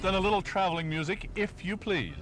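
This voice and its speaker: A man hosting a casual on-location radio show, asking curious, joking questions with hesitant pauses. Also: high voice, loud music behind the voice. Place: on location, vehicle and outdoor noise behind. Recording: noisy old home-taped radio, road noise.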